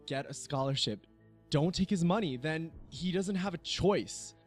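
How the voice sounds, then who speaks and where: A man's voice: a little high, animated, a little slow, soft background music. An actor playing a supportive, frank young man in a scripted soap drama, coaxing and earnest. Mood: urging. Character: supportive, frank young male friend urging openness.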